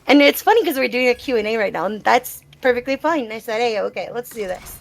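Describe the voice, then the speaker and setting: A woman's voice: fairly high pitch, animated, fast talker, creaky, low-quality recording. A woman gamer answering questions in a casual live Q&A, talking in a reflective, explanatory and conversational way. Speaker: gamer being interviewed. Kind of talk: live Q&A, casual personal talk.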